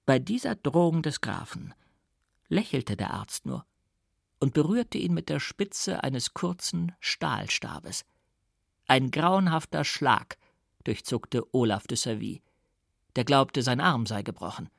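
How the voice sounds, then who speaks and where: A man's voice: mid-range voice, expressive, takes it slowly, vocal fry, a bit bright, no room sound, studio quality. A man narrating a literary audiobook in a dramatic reading style. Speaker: audiobook reader.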